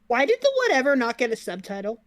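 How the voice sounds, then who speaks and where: A woman's voice: on the high side, sing-song, quick pace. A woman giving casual reaction commentary as a host, playful and teasing.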